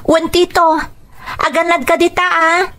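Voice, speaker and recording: A woman's voice: very high-pitched, little pitch movement, a little slow, noisy background. A woman playing a grateful, caring young student niece in an Ilocano radio drama, speaking earnestly and respectfully. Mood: relieved, caring.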